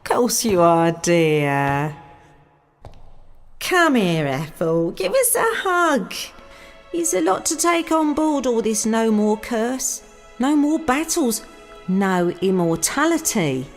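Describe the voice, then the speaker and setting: A blunt, bossy Norse war goddess played by a woman with a highish voice that swoops widely. She talks in unhurried, colloquial exclamations, warm underneath, in a comic audio drama. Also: very animated, soft background music, exaggerated voice. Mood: warm, chummy. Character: blunt, battle-hungry immortal Norse goddess, bossy but warm wife.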